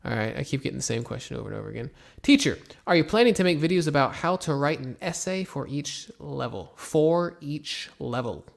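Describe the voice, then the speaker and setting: Young American teacher from Florida, laid-back Q&A delivery, a little fry in the voice. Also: male voice, a little high, a little fast. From Florida, USA. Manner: relaxed, rambling, many ums and restarts. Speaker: English teacher answering viewer questions. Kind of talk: casual Q&A, reading comments aloud.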